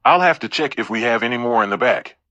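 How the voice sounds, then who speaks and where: A man's voice: mid-range voice, lively intonation, quick pace, pushed and bright, highs cut off, slight background noise. A man playing an oblivious, leering convenience store clerk in an audio play, casual and overfamiliar. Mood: casual, unbothered.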